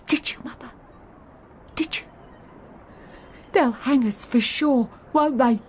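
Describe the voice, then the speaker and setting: Fretful young Englishwoman, high-pitched. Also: takes it slowly, slight background noise. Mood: accusing, fearful. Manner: fretful, questioning. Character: frightened, questioning grown daughter on the run. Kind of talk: audio drama acting.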